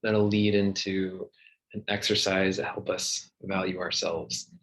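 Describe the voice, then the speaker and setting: Male meditation guide: low, very unhurried, gentle delivery with soothing pauses. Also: little pitch movement, poor audio. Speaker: meditation teacher.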